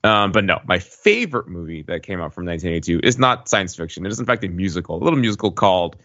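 A man's voice: low voice, lively intonation, quick pace, fairly bright tone, highs cut off. A man in his forties in casual conversation as a podcast co-host. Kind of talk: casual chat.

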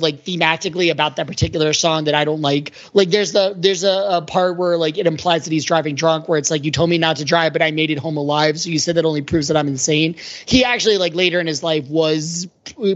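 A woman's voice: fairly low pitch, muffled recording. A woman chatting casually as a podcast panelist, hedging her points in a conversational way. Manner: hedging, conversational, frequent 'like' and 'sort of'. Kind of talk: casual discussion, critiquing song lyrics.

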